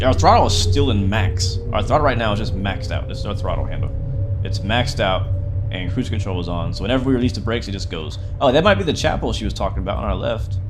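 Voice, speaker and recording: A young man chatting excitedly and joking while live-streaming a game. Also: lowish voice. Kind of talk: casual live-stream commentary. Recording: game train noise underneath.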